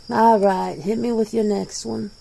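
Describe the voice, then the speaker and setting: A plain-spoken, world-weary werewolf played by a woman in an ASMR roleplay interview. The voice is soft-edged and dark with heavy fry, and it moves slowly. The delivery is casual, blunt and defensive. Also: on the high side, full character voice. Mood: exasperated. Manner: blunt, casual, swearing, conversational.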